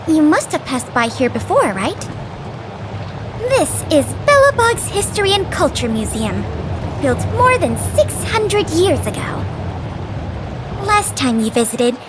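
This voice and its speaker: A woman's voice: sits high, noisy background. A woman voicing an earnest, friendly young tour guide in a video game, polite and eager to explain. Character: earnest, friendly young woman guiding visitors around a museum. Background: faint background music.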